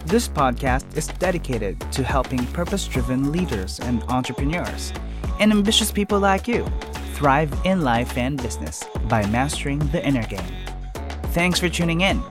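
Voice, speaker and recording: A man hosting a podcast as a life coach, reading a polished intro and then asking interview questions in a smooth, upbeat way. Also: medium pitch, animated, normal speed, music in the background.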